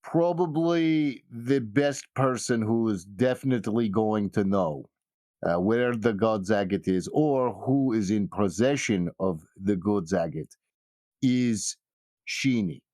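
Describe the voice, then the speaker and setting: A man voicing a polite fixer in a tabletop game, speaking formally and hesitantly in measured phrases. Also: lowish voice, mellow, no room sound. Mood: measured, courteous. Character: polite, thick-accented male fixer who spells out names.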